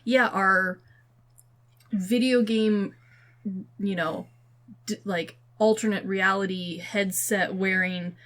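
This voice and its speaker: A woman's voice: mid-range voice, fairly even intonation, slow, long pauses, fairly bright tone. A woman hosting a podcast in a casual, slangy and opinionated conversational way. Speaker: podcast host.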